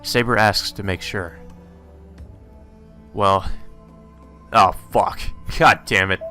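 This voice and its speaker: Male let's player, lowish pitch, forceful bright tone, reading game text aloud, casual commentary. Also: steady tone, takes it slowly, clear, resonant tone, faint music behind.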